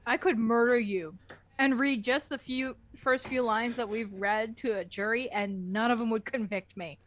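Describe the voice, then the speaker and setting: A woman's voice: a little high, steady tone, moderate pace, fairly bright tone. A woman bantering casually as a guest reader, in a dry, grudging way. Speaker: guest reader in a book club.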